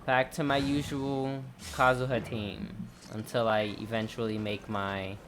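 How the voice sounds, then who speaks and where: A woman's voice: very deep voice, flat delivery, a little slow, a little hiss or hum. A woman hosting a gaming video with casual, conversational commentary on her play.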